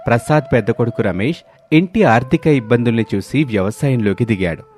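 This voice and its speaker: A man's voice: medium pitch, darkish tone. A man narrating a scripted moral story in a storytelling manner.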